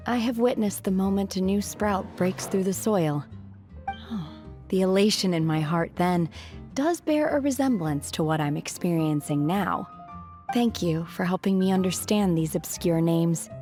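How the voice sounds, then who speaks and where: Woman playing a reserved young female hermit, lowish voice, stilted solemn delivery, video game character, soft music behind. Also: natural voice. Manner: stilted, solemn, literal-minded, measured. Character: aloof, earnest young female hermit raised in mountains. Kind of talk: video game cutscene acting.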